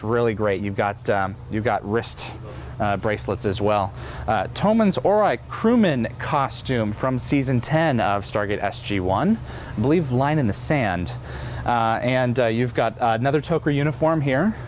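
A young man giving an enthusiastic, unscripted guided tour to camera in the manner of a keen fan, presenting for an auction company. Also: mid-range voice. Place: large indoor museum exhibition hall. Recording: clear background noise, indoor venue ambience.

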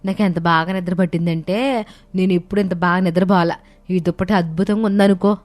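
A woman's voice: fairly low pitch, steady tone, brisk, a little mellow, natural voice. A woman voicing a grateful older mother-in-law in a cartoon story, speaking affectionately. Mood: fond.

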